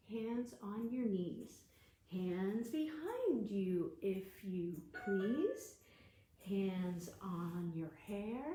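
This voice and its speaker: Children's librarian, woman, high and unhurried, animated storytime delivery, very echoey room. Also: a little hiss or hum. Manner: animated, sing-song reading to small children.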